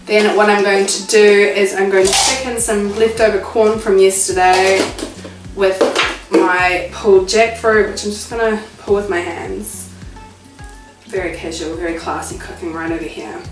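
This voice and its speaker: A woman's voice: medium pitch, fairly even intonation, normal speed, far from the mic. Chatty New Zealand woman, cooking vlogger, self-mocking, recorded off-mic in an echoey kitchen with faint music. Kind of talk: casual cooking vlog. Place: home kitchen.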